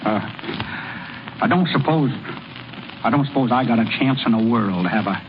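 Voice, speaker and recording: A man's voice: mid-range voice, lively intonation, lightly acted. An actor playing a plainspoken small-town American ballplayer and delivery man in old-time radio style, with a folksy, slangy way of talking. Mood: shy, miserable. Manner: folksy, slangy, halting when shy. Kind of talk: 1930s-40s American radio acting. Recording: muffled, noisy old radio broadcast.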